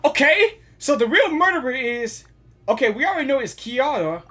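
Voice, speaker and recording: A woman giving casual live gaming reactions, excitable and repeating herself as she thinks aloud. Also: high-pitched, moderate pace.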